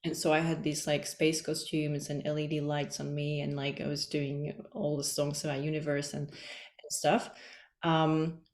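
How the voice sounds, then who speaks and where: Woman from the Czech Republic, podcast guest; low, even delivery, chatty and meandering, echoey room. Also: moderate pace. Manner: rambling, many "like" fillers. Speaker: podcast guest, energy healer. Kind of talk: casual interview chat.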